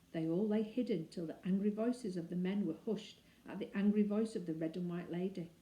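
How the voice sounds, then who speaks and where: A woman's voice: mid-range voice, dark tone. A woman reading a children's story aloud expressively, dramatizing the lines with shifting emphasis. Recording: roomy, echoing indoor sound.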